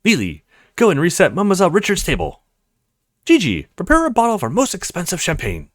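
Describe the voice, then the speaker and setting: A man voicing a grandiloquent, flattering male French restaurant host in a visual novel, florid and courtly. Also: sits high, quick pace, dry recording, studio quality, voice pushed up. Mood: courteous, commanding.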